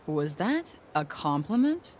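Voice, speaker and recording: A woman's voice: low-pitched, very animated, slow, a little mellow, slight background noise, heavily acted. A woman playing a young, tough, sarcastic American game heroine with a conscience, blunt and slangy in delivery. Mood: wry, upbeat. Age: young adult. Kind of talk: naturalistic video game voice acting.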